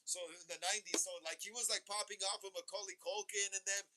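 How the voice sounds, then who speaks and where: A man's voice: sits high, steady tone, fast talker, noisy background. A man in his thirties hosting a live stream, chatting casually and rambling with lots of fillers and restarts. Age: 30s. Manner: rambling, many fillers and restarts, calls viewers "bro". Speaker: host of a horror movie livestream. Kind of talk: casual live-stream chat, reading viewer comments.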